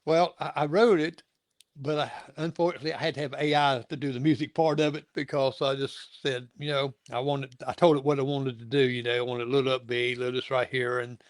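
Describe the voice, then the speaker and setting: A man's voice: medium pitch, fairly even intonation, fairly quick, dry recording, studio quality. A middle-aged or older man hosting a casual interview, talking in a rambling, folksy way and stammering over repeated words. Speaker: show host interviewing a guest.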